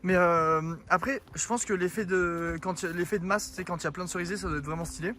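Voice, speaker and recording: A man's voice: sits high, fast talker, noisy background. A young man streaming live outdoors, chatting and joking with his viewers, a bit out of breath. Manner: joking, teasing, talks to chat. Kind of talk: casual IRL stream chat. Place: outdoors in a town street.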